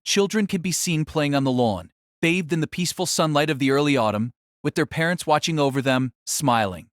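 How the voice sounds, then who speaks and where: A man narrating a novel in a solemn, theatrical style with deliberate phrasing. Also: fairly high pitch, dry recording, clean studio sound. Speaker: audiobook narrator. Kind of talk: dramatic scripted novel narration.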